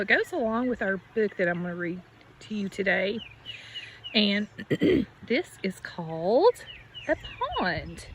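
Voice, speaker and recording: A woman's voice: medium pitch, a bit bright. A woman reading aloud to young children as a teacher, instructive and addressing them directly. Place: outdoors by a farm pond. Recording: outdoor recording with background nature noise. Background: running creek, animal sounds.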